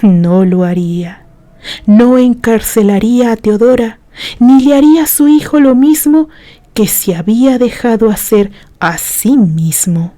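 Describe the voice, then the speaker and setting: A woman from Mexico narrating a written story in a gentle storytelling manner, reading from a script. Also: fairly high pitch. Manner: slow, gentle storytelling, softening to a hush. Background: background music.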